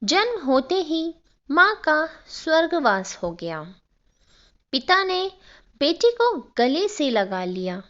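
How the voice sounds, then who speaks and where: Female storyteller, high voice with animated pitch, delivering scripted emotional narration phrase by phrase on a dull lo-fi recording. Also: slow pace. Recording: dull, lo-fi sound.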